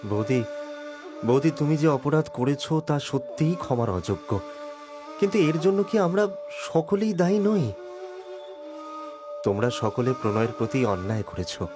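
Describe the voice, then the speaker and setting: A man playing a village landlord in an animated drama, urgent and halting, repeating his words. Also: medium pitch, lightly acted. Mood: earnest, calming. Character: agitated male village landlord, commanding yet reassuring. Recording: music behind the voice.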